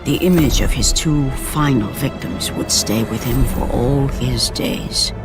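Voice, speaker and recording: A man's voice: medium pitch, unhurried, over music. A man narrating a video game cutscene in a solemn, grave, scripted storytelling tone. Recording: game cutscene with very loud score.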